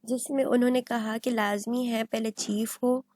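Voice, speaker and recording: A woman speaks in a fairly high voice, in the style of a legal lecture. She explains point by point, mixing in English terms and restating them in everyday words. The recording is dry, with very little room sound. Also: little pitch movement, moderate pace, a little mellow, no room sound. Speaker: law lecturer.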